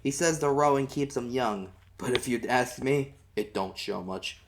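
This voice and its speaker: A man's voice: mid-range voice, a little slow, gravelly, noisy background. A man reading a first-person novel aloud as an amateur audiobook narrator, in folksy storytelling style.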